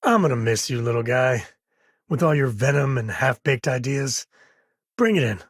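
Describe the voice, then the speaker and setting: An easygoing space ranger dad for a game, kinda husky, wry folksy drawl, super dry sound. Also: male voice, medium pitch, lively intonation, moderate pace, no echo. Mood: warm, musing. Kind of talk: video game voice acting.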